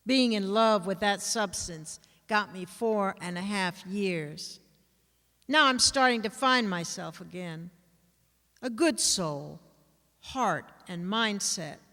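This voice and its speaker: Very slow poetry reader in a reverberant hall. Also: mid-range voice, fairly bright tone. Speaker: actor giving a dramatic reading. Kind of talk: dramatic reading of first-person poetry.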